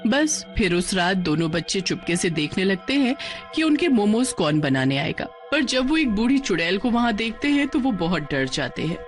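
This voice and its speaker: A woman's voice: medium pitch, very animated, normal speed. A woman narrating a scripted children's story as storyteller. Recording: music behind the voice.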